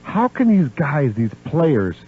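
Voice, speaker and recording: A man hosting a casual radio interview, excitable and quick to interject and agree. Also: on the high side, husky. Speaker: radio show host. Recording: narrow phone-like radio audio.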